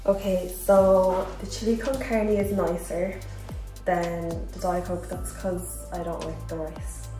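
A woman's voice: fairly low pitch, level tone, slow, over music. Young woman, off-mic in an echoey kitchen. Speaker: guest taster. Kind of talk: casual chat. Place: home kitchen, indoors.

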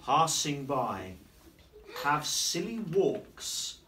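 A middle-aged headmaster reading a picture book aloud in a classroom, playfully asking the children questions and slowing down on the rhymes. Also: male voice, on the high side, sing-song, pushed and bright. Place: primary school classroom, indoors. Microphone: camera across the room. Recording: distant camera, noisy classroom.

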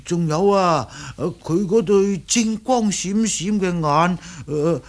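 A cringing young disciple in a radio play. His voice is high and slow, full of stammers and exclamations. He boasts and grovels in the same breath. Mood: boastful, fawning. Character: servile, boastful, cowardly young Shaolin disciple man. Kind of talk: Cantonese radio drama acting.

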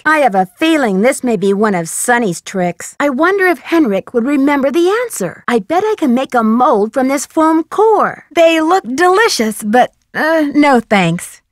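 A young woman detective in an adventure game, inquisitive and determined. Her voice is high and swings animatedly in pitch, with crisp, self-narrating delivery in a very dry, close recording. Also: very animated, normal speed, hardly any pauses, no room sound. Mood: curious, matter-of-fact. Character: curious, determined young female amateur detective. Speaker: video game protagonist. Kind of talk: scripted adventure game dialogue.

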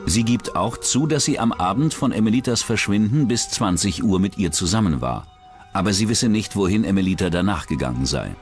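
A man reading scripted true-crime documentary narration as the narrator. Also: fairly low pitch.

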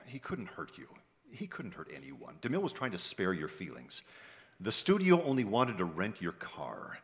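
A man's voice: low voice, huge pitch swings, moderate pace, exaggerated voice. A middle-aged man playing a cynical, bitter screenwriter in hard-edged old-time radio drama style. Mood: hard resolve. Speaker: stage radio actor. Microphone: vintage-style stand microphone. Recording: hall reverb, live stage performance.

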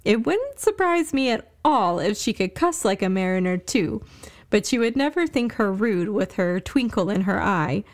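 A woman's voice: medium pitch, sing-song, normal speed. A woman reading scripted narration as the host and storyteller of a fiction podcast.

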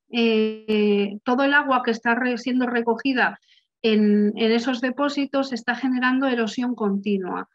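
Halting young woman presenting slides. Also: on the high side. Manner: halting, many restarts and filler sounds. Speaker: researcher presenting at a conference. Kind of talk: online conference talk over slides. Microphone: webcam video call. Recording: slightly muffled video-call audio.

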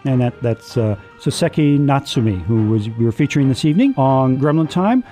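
A man hosting a radio show, giving a halting, semi-scripted sign-off. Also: mid-range voice, lively intonation, dark tone. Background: music.

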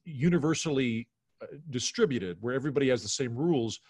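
A man's voice: mid-range voice, lively intonation, a little fast, darkish tone, husky, dry recording, clean studio sound. A middle-aged American man chatting casually and giving his opinions as a podcast guest on a video call. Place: home room on a video call.

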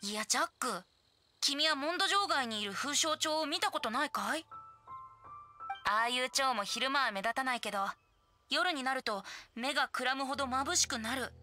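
A woman's voice: high-pitched, lively intonation, moderate pace, bright, forceful tone, soft background music. A woman voicing a youthful, playful boy bard with a poetic, persuasive way of talking in an anime game. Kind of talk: Japanese anime game voice acting.

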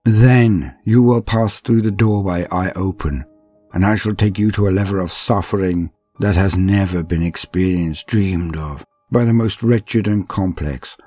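A man voicing a sadistic male alien torturer in a slow, menacing first-person monologue, his voice gravelly, husky and often sinking to a whisper. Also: low voice, dark tone, faint music behind. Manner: slow, menacing, savoring each threat.

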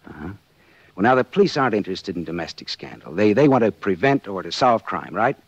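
Low, husky wisecracking private eye. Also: male voice, big pitch swings, fast talker, no echo, heavily acted. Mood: businesslike. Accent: American. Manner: sardonic, glib. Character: wisecracking hard-boiled male private detective. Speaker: lead actor and narrator. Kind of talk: 1940s radio acting. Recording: muffled, lo-fi 1940s radio recording.

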